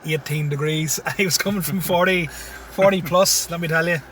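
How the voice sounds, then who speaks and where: A man's voice: on the high side, fairly even intonation, moderate pace, fairly bright tone, far from the mic, slight background noise. A man in his thirties with a Tyrone accent, chatting casually in a car as a comedian guest and chuckling often. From Syria, raised in Tyrone. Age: 30s. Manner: laid-back, chuckles often. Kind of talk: casual interview chat. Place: inside a parked car. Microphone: phone.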